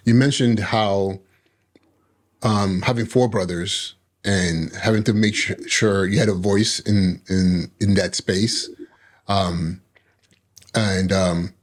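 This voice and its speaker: A man hosting a podcast who asks his guest curious, probing questions. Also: fairly low pitch. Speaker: podcast host. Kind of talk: interview conversation.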